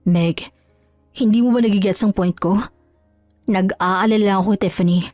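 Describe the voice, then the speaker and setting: An earnest, concerned husband in an audio drama, as a female narrator plays him. The voice sits lowered and measured. The recording is dry, with music behind it. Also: fairly even intonation, darkish tone, dry recording, natural voice. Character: calm husband, earnest and concerned adult man.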